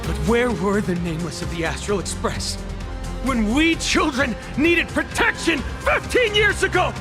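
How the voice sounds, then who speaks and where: High, theatrical, bitter young gang leader. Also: male voice, lively intonation, moderate pace. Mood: bitter, scornful. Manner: dramatic, scornful, declamatory. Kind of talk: video game voice acting. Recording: game music behind the voice.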